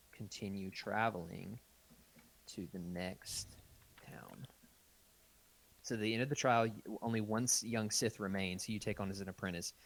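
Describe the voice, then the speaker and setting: A man's voice: on the low side, low-quality recording. A man reading text adventure game narration aloud and chatting casually as a podcast host.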